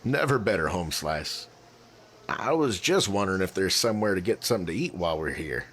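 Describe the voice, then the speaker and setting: A man's voice: low-pitched, animated, fairly quick, a bit bright. A man playing a friendly, easygoing young athletic hero in an audio drama, with casual, slangy delivery. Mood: friendly, relaxed. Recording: noisy background ambience.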